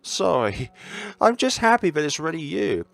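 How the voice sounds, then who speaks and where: A man's voice: a little high, very animated, moderate pace, creaky, a bit bright, voice pushed up, full character voice. A man voicing a caring, earnest, tomboyish teenage girl in a visual novel, eager and concerned. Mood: happy relief.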